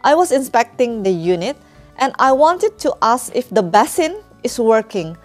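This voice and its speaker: A woman's voice: fairly high pitch, big pitch swings, moderate pace, faint music behind. Indonesian-accented woman teaching English. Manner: friendly, anecdotal, with easy laughs and restarts. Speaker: English teacher, channel host. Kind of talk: casual teaching talk.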